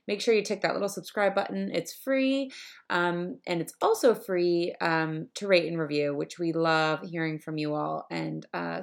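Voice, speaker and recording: A young woman hosting a podcast interview, upbeat and chatty, with frequent ums. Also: mid-range voice. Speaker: podcast host.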